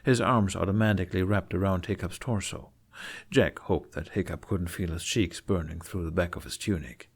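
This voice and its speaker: A man reading a scripted fantasy story aloud in an expressive audiobook style. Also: low-pitched, moderate pace. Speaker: fan fiction narrator.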